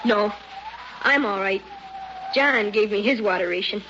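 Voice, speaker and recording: A woman's voice: medium pitch, unhurried, a bit bright, lightly acted. A woman playing an earnest, stubborn young boy in a radio drama, pleading and insistent. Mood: subdued. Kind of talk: old time radio drama acting. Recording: dull lo-fi radio recording, faint noise.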